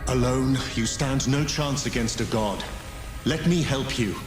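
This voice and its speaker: A man voicing a solemn, calculating god with a grave, measured manner in a video game. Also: medium pitch, lightly acted. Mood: grave persuasion. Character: solemn, calculating male god offering alliance. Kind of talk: video game cutscene acting. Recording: echoey, with music behind.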